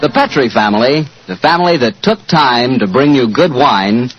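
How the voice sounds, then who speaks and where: A man's voice: mid-range voice, expressive, moderate pace, soft background music. A man with an American accent, announcing and reading sponsor copy as a 1940s radio host. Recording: dull lo-fi 1940s radio recording.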